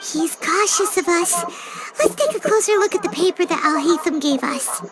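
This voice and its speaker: Casting: a chirpy little game fairy sidekick, childlike, extremely high, expressive. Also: female voice, moderate pace, loud music behind the voice. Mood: musing. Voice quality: squeaky, childlike. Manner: expressive, exclamatory. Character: tiny chirpy childlike fairy girl companion, excitable. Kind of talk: video game character acting.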